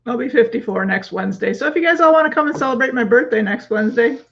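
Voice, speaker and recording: A woman's voice: a little high, lively intonation, quick pace, vocal fry. A woman in her fifties co-hosting a livestream, chatting freely and laughing often during a question game. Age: 50s. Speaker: co-host of a livestream. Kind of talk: casual question-game chat. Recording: dull lo-fi stream audio.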